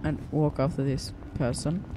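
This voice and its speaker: A man's voice: a little high, steady tone, moderate pace, noisy background. A young man from Sweden with a Swedish accent, rambling through casual gameplay commentary with many fillers and restarts. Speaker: gaming YouTuber.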